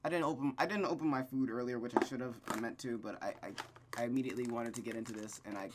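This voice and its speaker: A man's voice: medium pitch, fast talker, very noisy. A man reading a visual novel aloud as host and breaking off into rambling, self-deprecating commentary, stammering often. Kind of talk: let's play reading with casual commentary.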